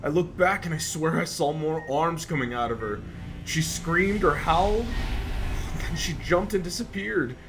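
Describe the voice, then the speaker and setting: A man performs a terrified patient in a horror audio drama. His voice sits a little high and comes out frantic and stammering, as if he is still being hunted. Clear dramatic music plays behind him. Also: unhurried. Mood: shaken, recounting fearfully. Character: terrified, hysterical male patient who escaped a monster.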